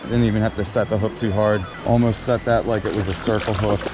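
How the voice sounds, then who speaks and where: A man chats to his viewers while fishing outdoors by a creek, his voice a little low. He talks casually and upbeat into a body-worn camera mic. Music plays clearly under his voice. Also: steady tone, fairly quick. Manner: upbeat, chatty, addressing viewers. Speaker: fishing vlogger. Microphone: body-worn action camera.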